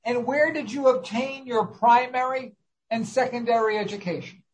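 A man's voice: very high voice, normal speed, low-quality recording. A man hosting a talk show, interviewing a guest in an earnest, formal, scripted-sounding manner. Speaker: host.

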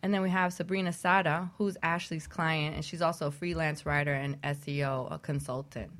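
A woman co-hosting a radio talk show, relaxed and conversational as she introduces the topics and guests. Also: low-pitched, fairly quick.

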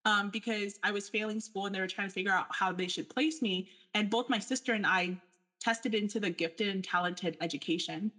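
A woman's voice: mid-range voice, fairly even intonation, fairly quick, sharp tone. A young adult woman speaking earnestly and candidly in a panel discussion, talking through her thoughts with fillers. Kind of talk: personal reflection in a panel discussion.

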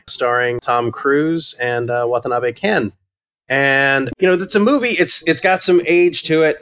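A man hosting a casual podcast chat, speaking haltingly with many "uh" fillers. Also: mid-range voice, fairly bright tone. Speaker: podcast host, society committee member.

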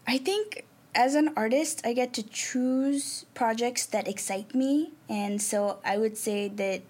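A young woman from Nepal, a native Nepali speaker, answering interview questions about her art in a thoughtful way, with many ums and pauses. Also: medium pitch, creaky, darkish tone. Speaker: artist and arts program manager. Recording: faint background noise.

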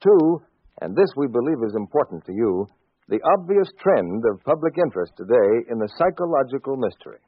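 A man's voice: mid-range voice, sing-song, brisk, husky, no echo. A man giving a formal, salesmanlike promotional announcement in 1940s radio style. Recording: tinny, dry 1940s radio recording.

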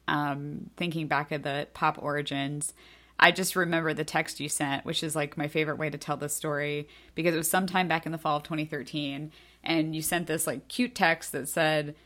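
Congested woman w a cold hosting a podcast, pretty low voice, laid back. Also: fairly even intonation, moderate pace, fairly bright tone. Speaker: podcast co-host and journal editor. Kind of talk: casual podcast chat.

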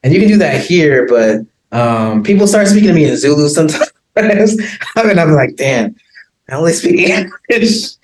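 An American man chatting casually as an interview guest, laughing often and filling pauses with ums and likes. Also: fairly high pitch, animated, darkish tone, reverberant, a little hiss or hum. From United States. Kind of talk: casual conversation.

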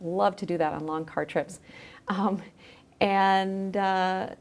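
A woman's voice: mid-range voice, fairly even intonation, takes it slowly. A woman speaking as a podcast guest in a thoughtful, conversational interview style, feeling her way through her phrases.

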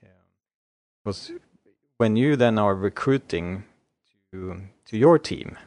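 A man with a fairly low voice hosting an interview. The recording is dry and close. He asks questions in a slightly halting way and offers encouraging remarks. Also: lively intonation, a little mellow, dry recording. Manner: encouraging, brief backchannels, slightly halting phrasing.

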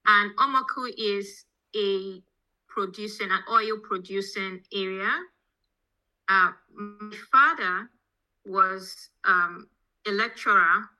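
Nigerian woman with a very bright, forceful voice. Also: mid-range voice, slow pace, soft background music, poor audio. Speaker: podcast guest, petroleum engineering professor. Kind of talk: conversational interview answers, telling own background.

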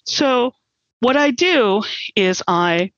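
Dull-sounding recording, measured American woman. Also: a little high, animated, fairly bright tone, dry recording. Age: middle-aged. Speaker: air traffic controller, interview guest. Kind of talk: explanatory interview talk.

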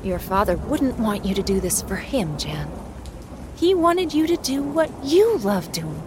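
An actress playing a warm, worried older mother with dry wit in a science fiction audio drama. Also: medium pitch, sing-song, a little slow, background noise. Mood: firm, tender.

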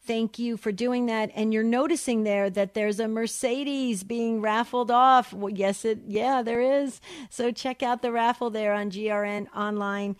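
A woman with a New Jersey accent hosting a call-in radio show, talking briskly and upbeat as she hurries through questions and announcements. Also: a little high, steady tone, smooth, no room sound, studio quality.

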